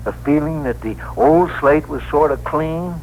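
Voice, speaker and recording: An actor playing a weary, plain-spoken New York cab driver in a 1960s radio drama, hesitant, colloquial and earnest. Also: male voice, on the high side, a little slow, dull audio. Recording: noisy, humming old radio broadcast.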